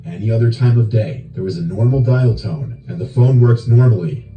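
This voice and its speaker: Male narrator for scripted scary true stories, mid-pitched, with backing music. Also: normal speed, muffled recording. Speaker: horror story narrator. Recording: roomy echo with music behind. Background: background music.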